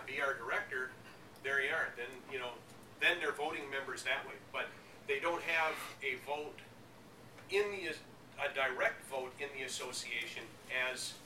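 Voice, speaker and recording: Guy giving a workshop talk, keeps restarting phrases, echoey n far from the mic. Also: medium pitch. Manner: halting, repeats and rephrases as he explains. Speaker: association president presenting a workshop. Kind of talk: explanatory workshop talk with audience questions. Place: indoor conference workshop room. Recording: echoey, noisy room, distant microphone.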